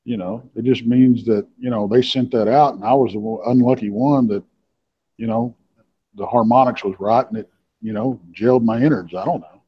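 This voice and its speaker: A man, co-hosting a live show and talking in a halting, folksy way with many fillers. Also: medium pitch, steady tone, husky. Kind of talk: casual live podcast chat. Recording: dull lo-fi call audio.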